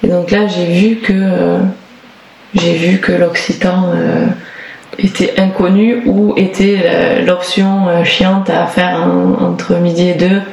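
A woman's voice: lowish voice, little pitch movement, slow pace. A woman from the Toulouse area, a singer, talking casually in an interview and searching for her words with frequent restarts. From Toulouse area, southern France. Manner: thoughtful, hesitant, many restarts and fillers. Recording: roomy echo with clear background noise.

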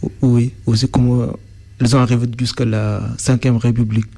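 A man's voice: medium pitch, level tone, normal speed, warm tone. A young man from Sudan, a native Arabic speaker, answering interview questions in French with frequent restarts as he searches for words.

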